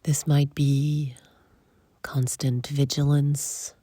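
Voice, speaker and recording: Very slow, low-pitched woman guiding meditation. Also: level tone, mellow, slightly rough, no room sound. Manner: unhurried, short phrases with long pauses. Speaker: meditation guide. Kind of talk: guided meditation.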